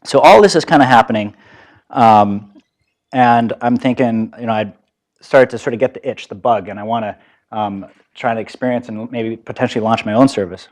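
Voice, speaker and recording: A man's voice: medium pitch, normal speed. A man in his thirties who grew up in Japan, giving a lecture about his career as a startup founder, talking easily with many fillers and restarts. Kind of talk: conference lecture. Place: lecture hall.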